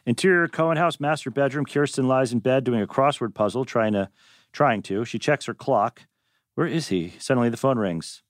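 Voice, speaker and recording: A man's voice: mid-range voice, moderate pace, dry recording, studio quality. A man reading stage directions as scripted narration at a table read.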